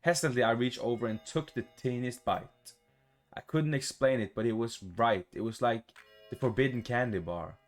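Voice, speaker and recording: A man reading a visual novel aloud for a gaming video in a casual, expressive, sweary way, adding his own playful reactions. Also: medium pitch, moderate pace, soft background music.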